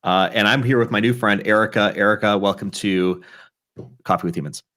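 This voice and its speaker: A man with a very low voice hosts a relaxed live-streamed chat. He rambles and restarts his sentences, warm and conversational in tone. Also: brisk. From Chicago area. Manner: chatty, rambling, frequent restarts. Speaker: talk show host.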